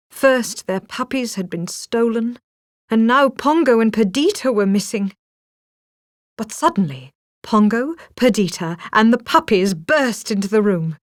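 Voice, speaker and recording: A woman narrating a children's read-along storybook in an expressive, dramatic storytelling manner. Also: mid-range voice, no echo, studio quality.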